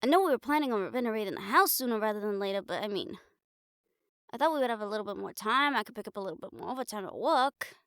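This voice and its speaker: A woman playing a caring, blunt, teasing young girlfriend in an improvised audio roleplay, chatting casually and haltingly to the listener. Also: medium pitch, animated, a bit bright, clear, resonant tone, no room sound, studio quality. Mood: worried, hesitant. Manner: casual, halting, playful swearing, affectionate teasing.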